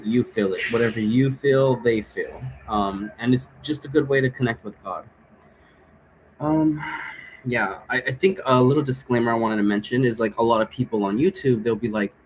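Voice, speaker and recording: Male, mid-pitched voice, informal and rambling explainer of game rules, slight background noise. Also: rough recording. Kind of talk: casual rambling explanation to camera.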